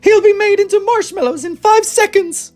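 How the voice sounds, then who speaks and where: A woman's voice: very high voice, normal speed, darkish tone, faint music behind, pitched above the performer's own voice. A woman voicing a hysterical, indignant mother in a piercing shriek for a children's storybook. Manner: screaming, scolding.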